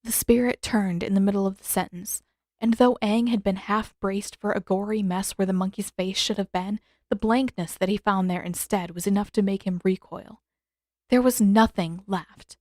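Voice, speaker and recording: A woman narrates a piece of fiction in a scripted storytelling style. Her voice sits at a medium pitch, and the recording is dry and free of room sound. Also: steady tone, normal speed, a little mellow, dry recording. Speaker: narrator, fan fiction author.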